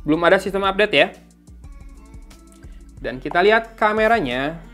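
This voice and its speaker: A man's voice: high-pitched, expressive, normal speed, pushed and bright, soft background music. A man giving a casual, informal tech review, thinking aloud as he reads out specifications. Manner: informal, thinking aloud while reading out specs. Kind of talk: casual unboxing and review commentary.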